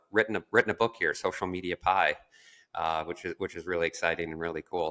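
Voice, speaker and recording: A man speaking as a podcast co-host in casual conversation, with a halting delivery full of fillers. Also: sits low, a bit bright. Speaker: podcast co-host and marketing agency founder.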